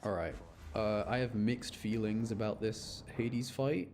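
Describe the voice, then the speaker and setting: A man's voice: lowish voice, measured pace, a little mellow, slight background noise. A man doing casual live game commentary for his chat, rambling and thinking aloud as he plays. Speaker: game streamer.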